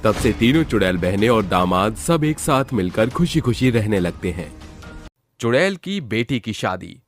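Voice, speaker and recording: A man's voice: on the low side, big pitch swings, normal speed. A man reading a scripted story as its narrator. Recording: music behind the voice. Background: background music.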